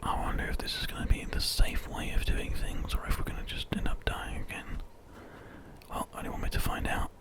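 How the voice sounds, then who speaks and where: British male ASMR host for game commentary; constant breathy whisper, gentle and unhurried. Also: extremely high pitch, completely flat delivery. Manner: soft, gentle, unhurried rambling.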